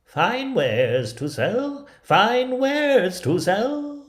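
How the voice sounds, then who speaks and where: A man voicing a sly, coaxing old peddler woman, really a wicked queen, with drawn-out singsong cries in a storybook reading. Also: very high voice, very animated, pitched above the performer's own voice, exaggerated voice. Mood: hawking.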